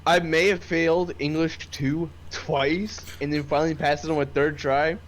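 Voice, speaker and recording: A man's voice: fairly high pitch, steady tone, moderate pace, a little hiss or hum. A man in a casual gaming voice chat, teasing bluntly.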